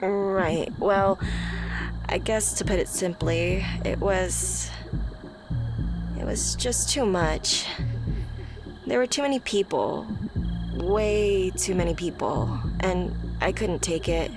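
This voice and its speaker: A woman voicing a shy, anxious young woman who confides haltingly in a close friend, in an ASMR roleplay. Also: on the low side. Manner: hesitant, halting, soft-hearted confiding. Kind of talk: ASMR roleplay audio drama. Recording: music behind the voice. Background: music.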